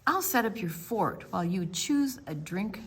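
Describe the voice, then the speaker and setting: An older woman reading aloud to small children at storytime, theatrical, stretching words and dropping into hushed asides. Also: medium pitch, lively intonation, a little slow, slight background noise. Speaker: children's library storytime reader. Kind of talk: animated read-aloud narration for small children.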